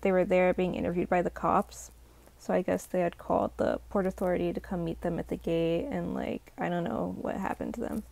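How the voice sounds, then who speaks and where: A young woman, slightly hoarse, telling a rambling casual storytime full of "like" fillers. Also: fairly low pitch, darkish tone, no echo. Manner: rambling, heavy use of "like" fillers. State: slightly hoarse from a sore throat. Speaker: vlogger.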